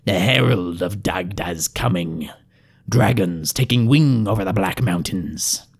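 A man voicing a battered hobgoblin priest, a fanatical cult prophet with a raspy, breathy voice and a slow, reverent, gasping delivery for a fantasy audiobook. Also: low-pitched, expressive, heavily acted. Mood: ecstatic reverence.

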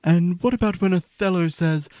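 Man acting male interviewer, slightly high voice, hesitant, polite, dry studio, radio comedy. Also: normal speed, dark tone, no echo, lightly acted. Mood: uneasy.

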